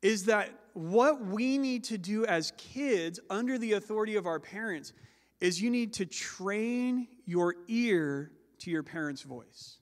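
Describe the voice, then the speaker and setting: A high-voiced man preaches a sermon with a loud, carrying voice. He slows down and stresses the words he wants to land. The sound rings with the long echo of a large hall. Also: expressive. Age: adult with children. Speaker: pastor.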